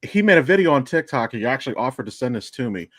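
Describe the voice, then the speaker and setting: A man's voice: medium pitch, sing-song, fast talker, a bit bright, dry recording, studio quality. A middle-aged man hosting a podcast in casual chat, rambling and swearing freely as he tells stories. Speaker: podcast host.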